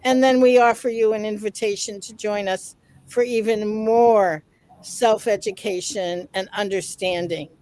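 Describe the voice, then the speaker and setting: A woman's voice: medium pitch, fairly even intonation, unhurried, a little mellow, low-quality recording. A woman co-hosting a casual online panel, enthusiastic as she reads out viewer comments. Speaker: webinar co-host.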